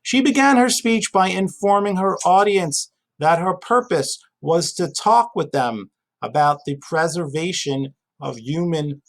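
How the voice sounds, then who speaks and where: A man's voice: sits high, a little slow, low-quality recording. A man giving an informal lesson as a teacher, conversational, asking and answering his own questions and repeating key phrases. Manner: conversational, asks and answers own questions, emphatic repetition, casual asides. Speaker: GED reading teacher. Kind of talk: informal spoken lesson, explaining history and reading a passage aloud.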